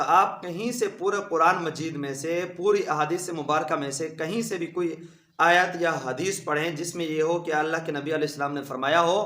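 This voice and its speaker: A male cleric with a sore, hoarse throat delivers a scolding rebuttal in a fairly high voice. His emphatic, indignant speech rings around an echoey room. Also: fairly bright tone. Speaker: Islamic cleric.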